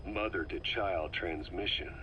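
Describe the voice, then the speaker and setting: An actor playing a stern, probing military intelligence officer in a video game, with measured, clipped delivery. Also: male voice, low voice, muffled recording, noisy background. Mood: dry, analytical. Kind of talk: dramatic video game dialogue.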